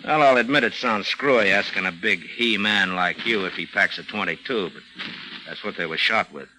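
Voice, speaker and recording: An actor playing a dry, needling homicide lieutenant, sardonic and slyly suspicious, in an old-time radio crime drama. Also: male voice, fairly low pitch, moderate pace, sharp tone. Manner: sardonic, probing. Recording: noisy old radio recording.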